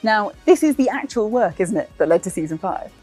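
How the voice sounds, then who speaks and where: A woman's voice: medium pitch, animated, brisk, darkish tone, husky, faint music behind. A woman presenting and interviewing in an upbeat, gushing and enthusiastic way. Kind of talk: upbeat scripted links and interview chat.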